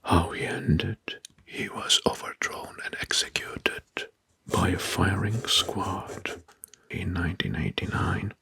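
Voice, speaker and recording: Slow, whispering man doing ASMR narration. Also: sits low. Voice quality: breathy, hushed whisper. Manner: slow, deliberate, repeats words softly.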